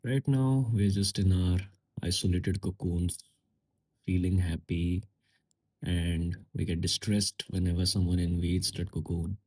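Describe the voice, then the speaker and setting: A man hosting a solo podcast, speaking in a musing, unhurried way with pauses and fillers; English is not his first language. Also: deep voice, fairly even intonation, darkish tone, husky. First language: a language other than English and Hindi. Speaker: podcast host. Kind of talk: reflective solo podcast monologue.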